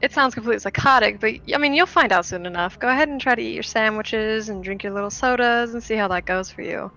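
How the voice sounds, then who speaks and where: A woman's voice: fairly high pitch, fast talker, bright, forceful tone. A woman playing a tabletop roleplaying game in character, with a casual, sardonic, matter-of-fact manner. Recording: dull lo-fi voice chat sound.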